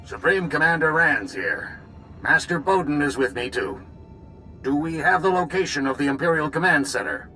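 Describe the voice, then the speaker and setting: An actor playing a brisk, commanding male military leader in a video game. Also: on the high side, expressive, pushed and bright, faint music behind. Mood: authoritative.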